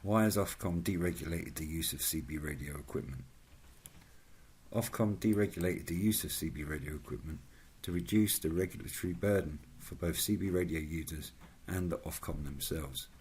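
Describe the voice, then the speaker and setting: Deep husky man reading formally. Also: normal speed, a little mellow. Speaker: channel host. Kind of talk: scripted narration.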